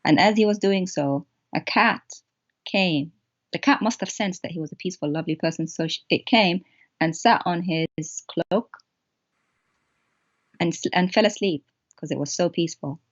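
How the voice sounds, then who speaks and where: A woman's voice: fairly low pitch, moderate pace, dull audio. A woman whose first language is Arabic, speaking English and retelling a story with theatrical mockery in an online discussion. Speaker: co-host of an online show.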